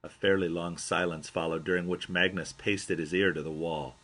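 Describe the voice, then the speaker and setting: Guy reading his own memoir audiobook, husky but bright and punchy, expressive storyteller. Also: deep voice, normal speed. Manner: expressive storyteller, acts out quoted dialogue. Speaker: author reading own book aloud.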